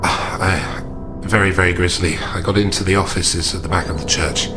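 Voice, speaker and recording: Deep, grave gentleman investigator, played by a man. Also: steady tone, moderate pace, music in the background, close to an everyday voice. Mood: grave, measured. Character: polite, grave male investigator reporting grisly findings.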